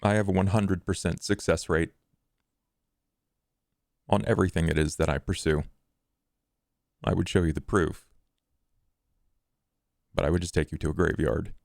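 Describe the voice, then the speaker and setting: Man playing a very deep, gravelly vampire. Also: steady tone, a little mellow, no room sound, clean studio sound. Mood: grim, assured. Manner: deliberate, formal, threatening. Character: menacing, calculating male vampire, grimly protective.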